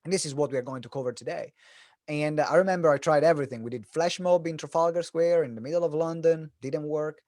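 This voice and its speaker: A man's voice: fairly high pitch, fast, a little mellow, dry recording, studio quality. A man from Italy with an Italian accent gives an informal, joking talk to an online audience, telling stories as a business coach. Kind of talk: animated presentation to an online audience. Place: online event.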